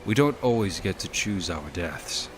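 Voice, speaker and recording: A man's voice: low voice, animated, unhurried, a little hiss or hum. A man playing a grim, vengeful noble warrior in a fantasy video game, speaking in a terse, stern, controlled way. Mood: stern, questioning. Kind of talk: dramatic video game acting. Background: music.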